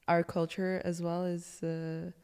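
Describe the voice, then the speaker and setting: A woman's voice: lowish voice, completely flat delivery, slow pace, a little mellow, clear, resonant tone, long reverb tail. A woman interviewing an artist, asking questions in a friendly way and laughing easily.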